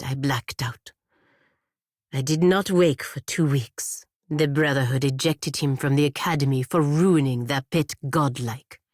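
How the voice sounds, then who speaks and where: An actress playing a proud, stern godlike warrior woman in a fantasy video game, speaking in formal, measured phrases. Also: a bass voice, darkish tone, no echo, studio quality.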